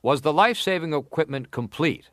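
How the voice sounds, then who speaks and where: An actor playing a formal, insistent senator who questions a witness deliberately in a dramatised hearing. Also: male voice, on the high side, expressive, a bit bright, no room sound, clean studio sound. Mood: insistent, pressing. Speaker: actor reading an examining senator. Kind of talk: dramatised reading of hearing testimony.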